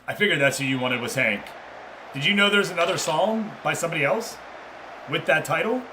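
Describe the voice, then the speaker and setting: A man's voice: a little high, expressive, a little fast, background noise. A man hosting a game livestream, chatting casually with viewers and commentating on matches in an informal way.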